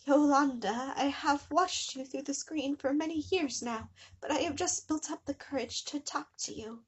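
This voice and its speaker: A creepy male cartoon creature voiced by a woman, fawning and infatuated. The voice is soft and hushed, lifted a little high, with syrupy, halting delivery. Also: close to an everyday voice. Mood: creepy wooing.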